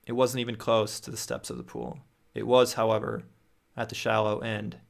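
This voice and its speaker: A man's voice: fairly low pitch, big pitch swings, moderate pace, vocal fry. A young man hosting a livestream at home, reading viewer stories aloud into a close studio microphone with frequent restarts. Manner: reads aloud with repeated restarts and reactions. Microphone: studio mic on boom near face.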